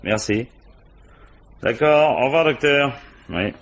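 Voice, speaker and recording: An actor playing a brusque, authoritative French police boss in a 1950s-style radio mystery, with curt colloquial phrasing. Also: male voice, mid-range voice, animated, takes it slowly, background noise. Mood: pondering. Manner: curt, authoritative, colloquial, frequent "bon" and "hein".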